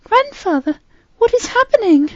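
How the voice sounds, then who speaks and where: A man's voice: extremely high pitch, very animated, normal speed, fairly bright tone, no room sound, highs cut off, voice pushed up, exaggerated voice. A man doing a frightened young girl. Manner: anxious, pleading.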